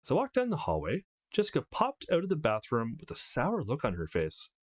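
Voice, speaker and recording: A feisty young woman from a visual novel, read by a man, cheeky, gossipy and blunt. The delivery swings widely in pitch, with teasing and swagger. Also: wildly swooping pitch, a little fast. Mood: indignant.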